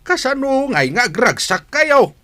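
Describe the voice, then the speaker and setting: A male actor as a stern old grandfather in an audio drama. He has a high, bright voice with animated intonation. His manner is admonishing and firm. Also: a little slow, full character voice. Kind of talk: Philippine audio drama acting.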